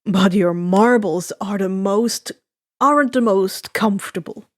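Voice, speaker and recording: A woman's voice: mid-range voice, measured pace, no echo, voice pushed down, lightly acted. Young male villain female reader: sardonic, teasing, storybook voice, dry recording.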